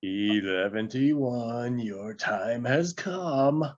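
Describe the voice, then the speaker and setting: Man as towering wizard, deliberate, authoritative, muffled recording, fantasy script reading. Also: medium pitch, expressive. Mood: stern. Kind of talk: table read acting. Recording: dull, muffled lo-fi microphone.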